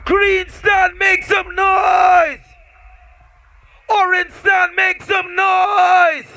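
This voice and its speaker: A man with a Guyanese accent, performing on a stadium stage, shouts call-and-response chants to hype the crowd over a handheld mic. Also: very high-pitched, monotone, drawn-out words. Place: outdoor national stadium.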